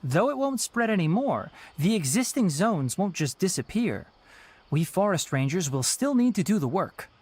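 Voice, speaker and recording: A man playing a calm, courteous young forest ranger in a video game, speaking in a measured, articulate way. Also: sits high, breathy edge, full character voice. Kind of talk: video game dialogue acting. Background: background music.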